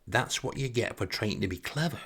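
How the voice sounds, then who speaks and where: A man voicing a bossy, teasing woman in a comic story, scolding with drawn-out emphasis. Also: mid-range voice, expressive, a bit bright, breathy edge. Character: bossy, exasperated female companion, teasing and correcting.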